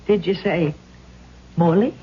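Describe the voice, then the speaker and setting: A woman's voice: on the low side, slow pace, husky, heavily acted. A woman playing a proper, suspicious old landlady in a radio drama, speaking in a formal, reproving manner. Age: older. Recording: dull, lightly noisy old radio recording.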